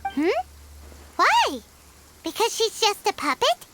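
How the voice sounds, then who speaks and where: Squeaky little game fairy, talks bout herself in third person, really high and up-and-down. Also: female voice, huge pitch swings, slow pace, heavy background noise, exaggerated voice. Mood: curious. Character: tiny chirpy female fairy companion. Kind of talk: video game voice acting. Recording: game audio with loud music behind. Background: game music.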